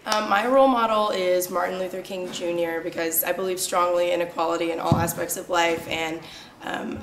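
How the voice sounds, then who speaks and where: A young woman speaks earnestly in a lowish, flat tone, measuring her words. The room around her is echoey, with faint hiss. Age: 20s. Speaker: singing contest entrant. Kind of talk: casual interview answers. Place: wood-panelled room, seated in armchair.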